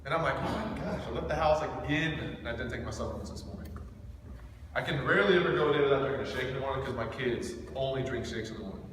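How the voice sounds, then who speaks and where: A man's voice: medium pitch, rapid-fire. A man in his thirties gives an informal motivational training talk to a group, speaking intensely and directly with emphatic rhetorical questions. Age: thirty-seven. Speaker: business team trainer. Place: echoing indoor room with a small group. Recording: echoey room recorded from far off, faint noise.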